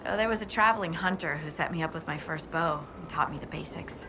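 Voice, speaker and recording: Friendly dwarf scout woman, game dialogue, slightly low pitch, candid and chatty, clear ambient noise behind. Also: quick pace. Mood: playful. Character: friendly young female dwarf scout and archer. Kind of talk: natural video game dialogue.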